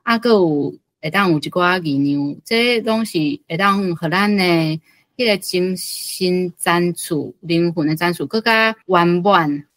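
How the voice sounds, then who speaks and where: A woman's voice: on the low side, dry recording. A woman speaking as a guest commentator, sharing informal reflections in a conversational way. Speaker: guest commentator, book club member.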